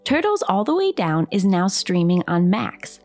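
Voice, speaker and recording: A woman's voice: medium pitch, big pitch swings, moderate pace, a little mellow, soft background music. A woman announcer giving a polished, scripted promotional read.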